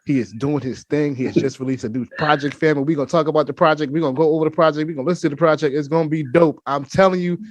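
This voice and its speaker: A man's voice: on the high side, steady tone, very fast, hardly any pauses, no echo. A man hosting a podcast from his home studio, talking with hyped energy and repeating himself as he calls his listeners family. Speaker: podcast host. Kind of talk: casual interview chat.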